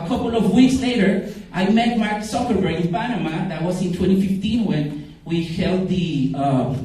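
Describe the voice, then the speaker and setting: A man in his forties from Costa Rica with a Costa Rican Spanish accent, giving an informal, halting talk about his own story as a startup founder. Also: sits high, dark tone. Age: 40s. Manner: halting, repeats words for emphasis. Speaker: startup founder speaking at a summit. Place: event room at a startup summit. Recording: very echoey room, far from the mic.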